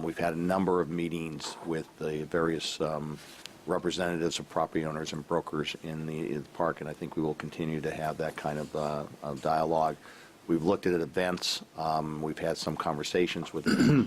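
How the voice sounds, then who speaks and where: A man giving a halting report at a council meeting, full of fillers and restarts, while losing his voice. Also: rumbling low. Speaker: city staff member. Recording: faint background noise from a live stream.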